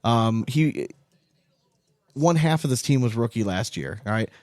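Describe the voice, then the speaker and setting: A man's voice: mid-range voice, lively intonation, measured pace, a little mellow, no room sound. A man hosting a podcast, chatting casually about sport in an informal, emphatic way with some swearing. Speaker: podcast host.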